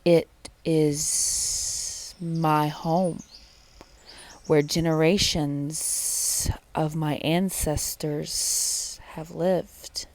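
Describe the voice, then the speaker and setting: A huge storybook snake, acted out by a woman reader. The speech is very slow and drawn out with a breathy hiss. The temper is solemn and pleading. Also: deep voice, flat delivery, darkish tone, heavily acted.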